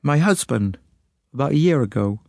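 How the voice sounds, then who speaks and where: A man's voice: mid-range voice, lively intonation, normal speed, vocal fry, darkish tone, no room sound, clean studio sound, voice pushed up. A man reading an audiobook, voicing an anxious, indignant middle-aged woman who talks in a prim, complaining way. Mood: fretful. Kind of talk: audiobook character voice.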